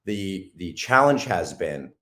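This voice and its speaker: Low-voiced hoarse male guest, halting and casual. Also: big pitch swings, pushed and bright. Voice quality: raspy. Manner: halting, lots of ums and restarts. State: hoarse from days of talking. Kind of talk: casual interview talk.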